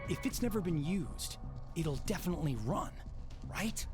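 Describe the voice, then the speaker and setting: Anxious, high-voiced young man. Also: expressive, moderate pace, music in the background. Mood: puzzled, realizing. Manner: earnest, defensive. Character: anxious, pleading young man under accusation. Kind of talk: dramatic video game voice acting.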